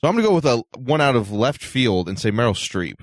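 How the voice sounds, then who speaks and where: A man's voice: medium pitch, big pitch swings, quick pace. A man co-hosting a talk show with casual panel banter. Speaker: show co-host.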